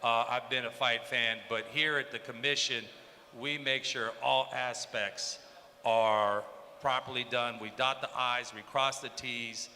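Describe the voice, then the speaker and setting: A man's voice: mid-range voice, fairly even intonation, measured pace, pushed and bright. A man from Southern California, a commission chairman, giving an upbeat civic speech at a press conference. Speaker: state athletic commission chairman. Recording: reverberant hall with faint background noise.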